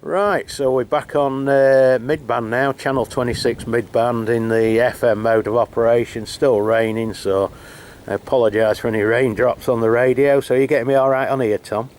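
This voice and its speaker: A man's voice: medium pitch, moderate pace, slight background noise. A man hosting a radio test, chatting casually and hesitantly outdoors in the rain, caught on a camera mic. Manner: relaxed, hesitant, many fillers. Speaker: channel host testing CB radios. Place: outdoors on a rainy hilltop. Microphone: camera mic while holding a handheld radio.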